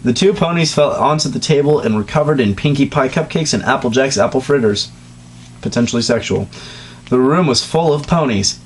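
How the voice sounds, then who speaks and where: Guy reading a story out loud for laughs, dry sarcastic comments, faint hum behind. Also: mid-range voice, a little fast. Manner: sarcastic, deadpan asides. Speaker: reader and host. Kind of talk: comedic dramatic reading.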